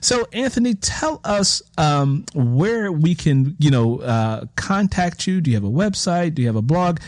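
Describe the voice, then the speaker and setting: A man's voice: a little high, animated, measured pace, no echo, studio quality. A man hosting an interview in a conversational, reflective way, explaining his thoughts at length. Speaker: host interviewing an author.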